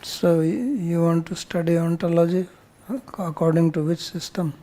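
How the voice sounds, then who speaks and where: A man's voice: fairly high pitch, fairly even intonation, moderate pace, dark tone. An older man with an Indian accent answers students as a spiritual teacher, bluntly and often turning questions back on them.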